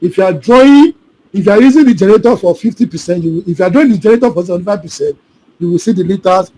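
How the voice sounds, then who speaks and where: Male instructor on a video call, higher-pitched, repetitive and checks in often. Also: lively intonation, racing, mellow, low-quality recording. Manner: repetitive, keeps checking students follow. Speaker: solar installation trainer. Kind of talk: live online class, answering questions.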